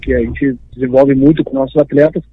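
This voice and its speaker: Halting man on a dull line. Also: medium pitch, steady tone, husky. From Uruguay. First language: Spanish. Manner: halting, repeats himself. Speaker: football agent, interview guest. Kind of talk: live interview. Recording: dull, dry remote call audio.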